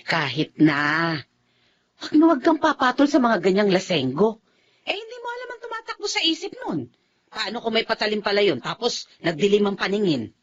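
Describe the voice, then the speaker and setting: A woman's voice: mid-range voice, sing-song, normal speed, natural voice. A woman with a Filipino accent playing a protective, anxious wife and mother in a radio drama. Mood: scolding, worried. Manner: anxious, gently scolding. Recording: dull, noisy radio drama recording.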